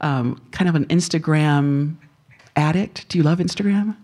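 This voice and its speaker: A woman's voice: sits low, normal speed, mellow. A woman hosting a live classical radio interview, friendly and curious, laughing often. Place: radio performance hall studio.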